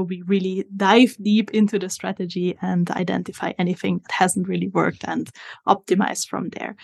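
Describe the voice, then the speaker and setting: A woman's voice: mid-range voice, a little mellow. Woman, 20s, italian accent, podcast guest, methodical explanations, filler words. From Italy. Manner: explains step by step, frequent fillers. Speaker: social media strategist guest. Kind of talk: podcast interview.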